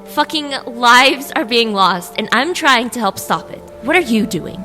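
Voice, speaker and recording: A woman's voice: a little high, animated, a little slow, sharp tone. Woman acting earnest otherworldly woman over music. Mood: firm, indignant. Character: otherworldly woman, earnest, composed, stands her ground. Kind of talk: audio drama acting. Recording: music under the voice.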